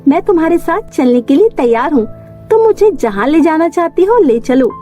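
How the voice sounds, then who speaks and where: A naive, sweet young cartoon heroine acted by a woman narrator with a very high, mellow voice. She speaks emphatically and openly, as in a children's fairy tale, over faint music. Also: big pitch swings, moderate pace. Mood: eager. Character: sweet, trusting, easily frightened young woman. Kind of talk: Hindi animated fairy tale voice acting.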